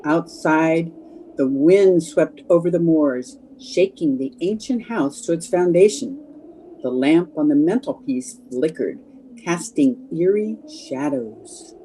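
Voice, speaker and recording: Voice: mid-range voice, lively intonation, slow, mellow, a little hiss or hum, low-quality recording. An actor playing a solemn narrator, setting a gothic scene in scripted old-time radio style. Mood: ominous. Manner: solemn, atmospheric.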